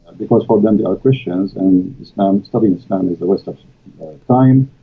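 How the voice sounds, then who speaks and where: Arabic-native man with a raspy voice, halting and full of fillers, heard over a thin call line. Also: on the low side. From Middle East. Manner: halting, many fillers, non-native grammar. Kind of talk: casual live-stream conversation. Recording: tinny call audio.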